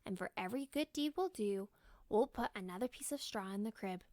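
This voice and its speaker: A woman's voice: medium pitch, expressive, fairly quick, darkish tone, no room sound, studio quality, natural voice. Woman acting an encouraging young storybook mother. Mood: reassuring. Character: warm, encouraging young mother explaining a secret game.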